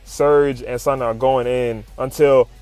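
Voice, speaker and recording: A man's voice: mid-range voice, steady tone, unhurried, darkish tone, smooth. Man, slangy hyped commentary over music. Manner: slangy, profane, informal, exclamatory. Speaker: video host reviewing comics. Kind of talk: excited comic recap commentary.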